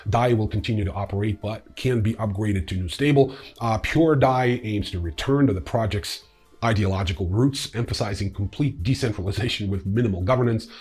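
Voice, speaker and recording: Male newsreel host: fairly deep, distinctly husky delivery, reading prepared stories with informal, opinionated commentary. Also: brisk, a little mellow, soft background music. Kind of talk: scripted news narration with casual opinionated asides.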